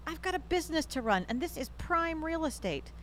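A woman acting a brisk, teasing mother who runs a boarding house, in an audio drama. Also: sits high, very animated, a bit bright, slight background noise.

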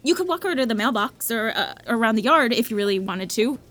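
A woman's voice: on the high side, expressive, fairly quick, a bit bright. A woman acting as a kind, slightly awkward, practical hospital staffer in an audio drama, reassuring and prone to stammering. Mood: careful, explaining.

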